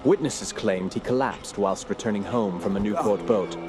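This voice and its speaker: An actor playing a grave, brooding gentleman scholar who narrates a horror audio drama in a formal, measured, ominous way. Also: on the low side, natural voice. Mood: somber, reflective.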